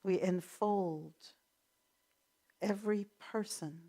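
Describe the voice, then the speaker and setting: A woman leading a spoken prayer at a church service, calm and unhurried. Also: mid-range voice. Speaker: prayer leader.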